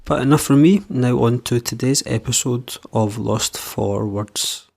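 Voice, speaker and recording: A man's voice: medium pitch, measured pace, creaky, noisy background. Young man hosting a podcast. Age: 20s. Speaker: podcast host. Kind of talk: scripted intro then casual interview.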